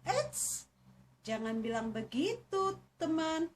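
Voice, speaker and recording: A woman's voice: very high voice, big pitch swings, takes it slowly, faint music behind, voice pushed up, heavily acted. A woman voicing a sly, scheming turtle in a children's fable, coaxing and exclamatory with false cheer. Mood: excited scheming. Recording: distant mic, faint noise.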